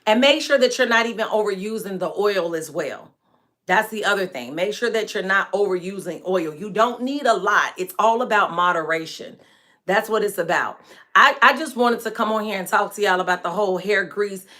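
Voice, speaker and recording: A woman in her forties hosting a live hair care stream, chatting frankly with viewers and laughing often, her voice a bit hoarse and dry. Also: medium pitch, normal speed, pushed and bright. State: hoarse and dry voice from staying up late. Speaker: natural hair care host and product maker. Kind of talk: live-stream chat answering viewer comments.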